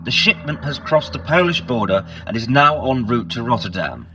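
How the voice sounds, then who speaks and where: A man playing a polite, formal butler in his sixties, deferential and measured, in an audio drama. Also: medium pitch, expressive, natural voice. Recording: faint music underneath.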